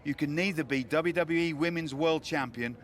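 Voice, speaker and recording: An actor playing a resigned, matter-of-fact wrestling boss in video game dialogue. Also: male voice, a little high, normal speed, slight background noise, lightly acted. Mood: resigned, apologetic. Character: resigned male wrestling boss breaking bad news.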